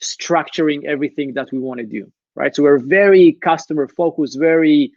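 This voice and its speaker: A middle-aged man with an Israeli accent talks through his business story in earnest, often stopping to ask rhetorical questions. His voice sits on the higher side. The sound is dry and a little dull. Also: moderate pace, a little mellow, no echo. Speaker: guest, business founder. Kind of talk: interview conversation.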